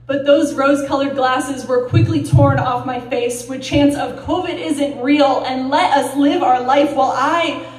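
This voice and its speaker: A woman reciting slam poetry in a deliberate, dramatic, emotionally intense way, with pauses and emphasis. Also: high-pitched, darkish tone. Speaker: slam poet. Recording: roomy echo, distant mic.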